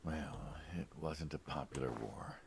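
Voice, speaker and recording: A man's voice: very deep voice, unhurried, vocal fry, background noise, heavily acted. An actor playing a teasing, chatty American mobster in a video game, probing his friend in a wry, conversational way. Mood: sympathetic, teasing. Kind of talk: naturalistic game acting.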